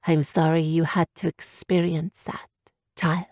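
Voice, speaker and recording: A woman voicing an old, calm and kindly woman mage who speaks in a hushed, gentle way. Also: deep voice, unhurried, no room sound, clean studio sound.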